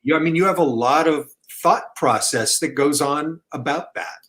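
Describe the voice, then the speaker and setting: A man's voice: a little high, normal speed, fairly bright tone. A man hosting a podcast interview, asking encouraging follow-up questions in casual chat. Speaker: podcast host.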